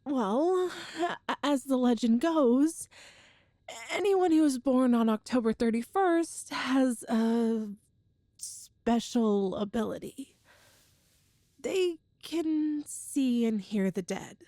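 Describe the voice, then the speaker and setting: A very high, unhurried young woman's voice performed by an actress, recorded close and dry. She is a tender, nervous girlfriend in an intimate ASMR roleplay, halting and earnest as she explains herself. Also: expressive, dark tone, no echo. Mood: nervous, confiding. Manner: hesitant, halting, earnest, breathy sighs and nervous laughs.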